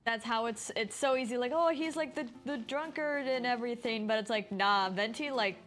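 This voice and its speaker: A woman's voice: on the high side, moderate pace, a bit bright, faint music behind. A woman streamer giving informal, rambling live commentary while she plays a video game. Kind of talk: casual live gameplay commentary.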